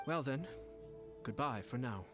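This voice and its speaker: An actor playing a calm, calculating nobleman in a video game, speaking in a composed, courtly way. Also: mid-range voice, measured pace, lightly acted. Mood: composed, scheming. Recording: game music behind.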